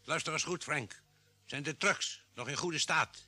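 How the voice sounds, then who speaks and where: Bright, forceful radio-play doctor. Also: male voice, on the high side, measured pace, slightly rough, full character voice. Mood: firm, instructing. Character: expedition doctor, steady commanding man on Mars. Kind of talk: 1950s Dutch radio drama acting.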